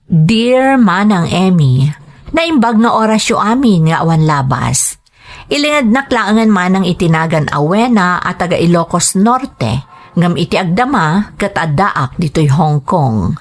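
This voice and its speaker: A woman's voice: fairly low pitch, lively intonation, a little slow. A woman with a Filipino accent hosting and narrating, reading greetings and listeners' letters from a script. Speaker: host and narrator of a drama channel.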